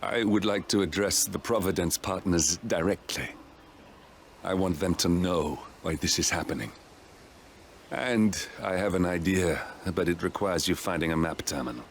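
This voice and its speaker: A man's voice: deep voice, moderate pace, a little hiss or hum. An actor playing a serious, determined male handler briefing an assassin in a video game. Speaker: mission handler. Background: music.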